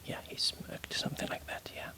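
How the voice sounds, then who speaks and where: A man's voice: normal speed, pushed and bright. A man whispering as he reads and edits a story aloud, with hesitant fillers and self-corrections. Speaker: writer editing a story aloud. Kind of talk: whispered ASMR story editing.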